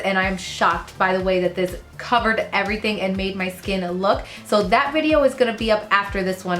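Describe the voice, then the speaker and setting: A young woman beauty vlogger, sick with a rough, lowered voice, chatting casually through a makeup tutorial with fillers and asides, filmed close up in her bedroom. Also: fairly bright tone. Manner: chatty, rambling, fillers, trails off while working. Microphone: camera close up in front of face.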